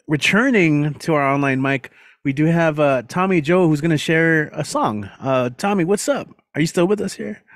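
A cheerful man in his thirties hosts a live-streamed open mic, his voice sitting a little high and recorded very dry and close on a studio mic. He chats loosely and laughs between introductions. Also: dry recording. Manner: upbeat, chatty, laughs easily. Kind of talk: casual live-stream hosting. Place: small room at home. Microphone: studio mic on boom arm with headphones, video call.

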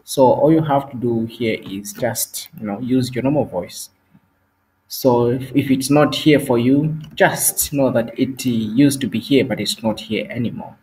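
Man explaining software steps plainly. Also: medium pitch, a little slow, a little hiss or hum, poor audio. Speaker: tutorial host. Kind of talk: screen-recorded software tutorial.

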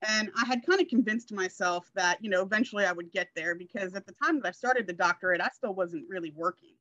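A woman's voice: mid-range voice, quick pace, a bit bright, dry recording. A woman, chatting casually and telling personal stories as a guest on a video call. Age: 40s. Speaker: guest sharing her success story. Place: indoor room, video call. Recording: slightly dull video call audio.

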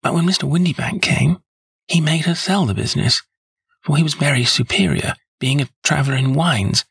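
A man's voice: on the high side, normal speed, vocal fry, a bit bright, slightly rough, no echo, voice pushed up, exaggerated voice. A man voicing a nervous, chatty, good-humoured young woman in an audiobook story. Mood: chatty, earnest. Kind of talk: audiobook character voice.

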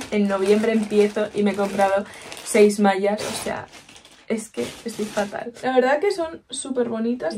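A woman's voice: a little high, mellow, a little hiss or hum. A woman in her twenties with a Spanish accent from Spain, chatting casually through a shopping haul in a small bedroom, upbeat and full of asides. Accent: Peninsular Spanish. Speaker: vlogger.

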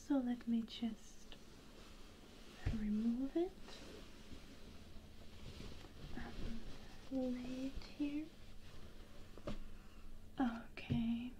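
A woman voicing a gentle, doting young dragon queen in a soft, breathy ASMR whisper, murmuring tenderly and close to the microphone. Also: on the high side, slight background noise. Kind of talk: ASMR roleplay.

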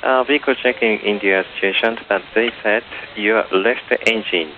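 A man working as an air traffic controller, giving procedural instructions haltingly over aviation radio. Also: lowish voice, fairly even intonation. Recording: narrowband radio transmission with hiss.

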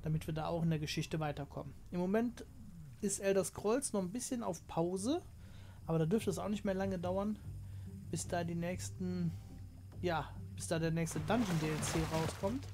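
A man hosting a gaming channel, chatting casually through his play and explaining it to viewers, his voice slightly hoarse from a cold. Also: high voice, normal speed, darkish tone, slight background noise. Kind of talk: casual let's play commentary.

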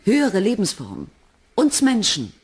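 An actress playing a firm, responsible expedition leader in a 1980s German radio drama, decisive and formal. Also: female voice, medium pitch, wildly swooping pitch, moderate pace, darkish tone, husky, heavily acted.

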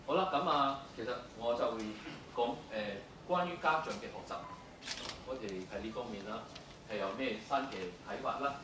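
A man giving an academic lecture, halting and full of fillers, switching between English and Cantonese. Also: medium pitch. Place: lecture room. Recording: echoey room, distant, noisy.

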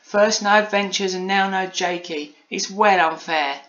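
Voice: medium pitch, expressive, moderate pace, bright, forceful tone, lo-fi sound, voice pushed up. A storybook reader voicing an earnest, wistful nine-year-old girl who exclaims and is easily amazed.

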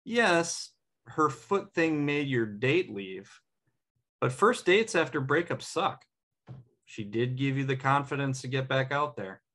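A man's voice: medium pitch, animated, slow pace, a bit bright. A man acts out a supportive best friend in a sitcom, cocky yet insightful. His voice is mid-range and conversational. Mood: reassuring. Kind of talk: table read of a sitcom pilot. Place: home room on a video call.

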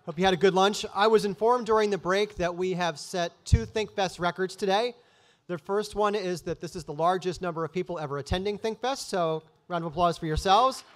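A middle-aged man hosting a conference from a stage microphone, introducing the next speaker in a relaxed way with a few fillers. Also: sits high, brisk. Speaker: event host introducing a speaker.

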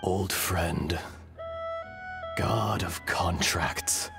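Slow, deep, ancient dragon spirit. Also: male voice, wildly swooping pitch, creaky, music in the background, exaggerated voice. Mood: solemn. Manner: grave, formal, philosophical. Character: ancient, solemn male dragon spirit speaking through a human body. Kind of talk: scripted video game voice acting.

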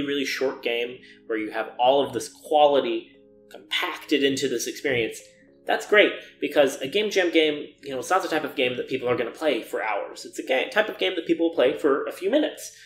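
A man's voice: mid-range voice, a bit bright. A young man giving an informal advice lecture to camera in an earnest, emphatic way, repeating his intensifiers, recorded indoors in a living room. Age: young adult. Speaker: game jam organizer giving a keynote talk. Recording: roomy indoor echo.

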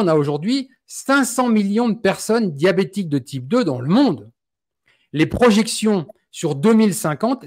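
A man in his forties with a France French accent, hosting an interview and speaking outspokenly and with indignation into a studio mic. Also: sits high. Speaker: host. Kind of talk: interview, passionate opinion. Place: small indoor room. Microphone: studio mic on stand.

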